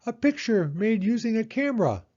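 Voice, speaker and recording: A man voicing an excitable, hooting owl in a storybook reading. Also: normal speed, slightly rough, highs cut off, heavily acted. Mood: excited. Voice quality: high, hooting.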